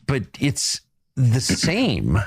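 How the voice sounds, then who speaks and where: A man speaking as a guest in a conversation, earnest and measured. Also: mid-range voice, wildly swooping pitch, husky, no room sound. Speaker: guest in a clip. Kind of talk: conversational interview.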